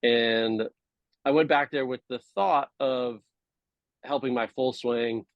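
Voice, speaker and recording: Dry-sounding man, casual halting storyteller. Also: medium pitch, steady tone, no room sound, lo-fi sound. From Hawaii, United States. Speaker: podcast guest, former tour golfer and coach. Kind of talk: casual interview chat.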